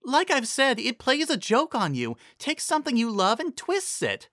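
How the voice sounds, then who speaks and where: A performer voicing an excitable, anxious young female pony in a dramatic fantasy story reading. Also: medium pitch, normal speed, dry recording, studio quality, pitched above the performer's own voice. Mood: explanatory. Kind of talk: dramatic fan fiction reading.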